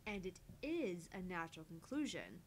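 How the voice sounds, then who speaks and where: A woman reads a visual novel aloud on a game stream, her voice a little on the low side. She moves through the narration informally, now and then tripping on a phrase and repeating it. A faint rumble of noise sits under the recording. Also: very animated, moderate pace. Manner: stumbles over lines and rereads. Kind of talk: reading story aloud with casual asides.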